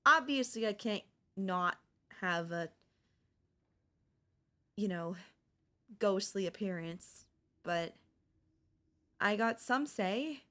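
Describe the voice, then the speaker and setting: Casting: woman to play young ghost girl for ASMR audio drama. Slow delivery, mid pitch, casual and frank, tender and wistful. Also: fairly bright tone. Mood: casual, musing. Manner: casual, hesitant, matter-of-fact about grim things. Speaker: voice actor. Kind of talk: intimate ASMR audio roleplay.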